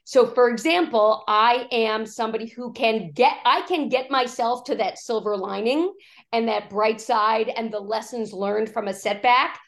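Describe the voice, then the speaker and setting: A woman executive coach, hoarse from a cough, talking in a podcast interview and acting out quoted speech as she tells stories. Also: fairly high pitch, normal speed. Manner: animated storytelling, acts out quoted speech.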